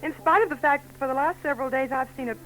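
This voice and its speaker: A woman's voice: high voice, very fast, fairly bright tone. An actress playing an anxious, genteel young married woman in 1940s radio drama style, speaking politely and hesitantly. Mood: earnest, worried. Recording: noisy 1940s radio recording with hum.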